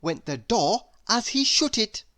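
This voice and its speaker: A storybook narrator reading a children's tale aloud in an animated, expressive way. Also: mid-range voice, unhurried. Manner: animated, expressive, with emphatic sound words. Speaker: storybook reader.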